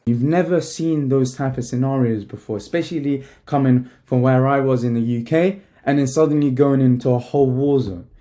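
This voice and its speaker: A young man from Palestine talks straight to the camera, emphatic and full of slang. His voice is mellow and relaxed, sitting at an ordinary middle pitch. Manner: casual, emphatic, fast-talking with slang. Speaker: online business coach. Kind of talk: talking-head vlog to camera.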